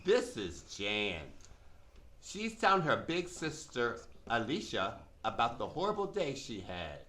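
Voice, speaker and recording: Bright, animated male children's narrator. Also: mid-range voice, measured pace. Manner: simple, emphatic explaining to children. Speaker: puppet show narrator. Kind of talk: scripted children's educational narration.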